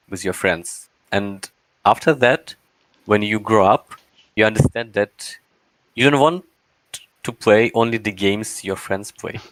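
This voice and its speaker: Guy in his 20s, hesitant, fumbling for words, lowish voice, dry mic. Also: no echo, poor audio. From Russia. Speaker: guest. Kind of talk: casual interview answers.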